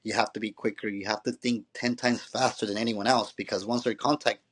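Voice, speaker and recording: A man with a lowish voice who talks quickly, piling up restarts and tossing in "you know" every few phrases. He tells stories from his playing days the way he would tell a friend over coffee. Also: steady tone, fairly bright tone, breathy edge. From Mexico. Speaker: former pro player, youth coach. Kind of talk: conversational storytelling.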